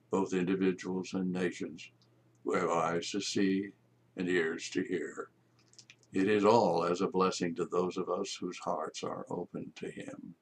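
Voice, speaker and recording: An older man, a priest, delivering a measured homily from his study, with rhetorical questions. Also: low voice, a little hiss or hum. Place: home study with bookshelves.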